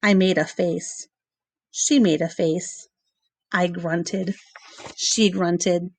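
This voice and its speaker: A woman reading a children's novel aloud in measured, expressive storybook narration with short pauses between phrases. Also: on the low side, a little hiss or hum.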